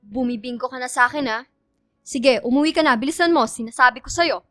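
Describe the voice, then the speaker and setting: A woman's voice: high-pitched, brisk, a bit bright, no room sound. A woman playing a strict, scolding Filipino mother in a radio drama, stern and nagging. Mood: angry, commanding. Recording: dry studio with faint music.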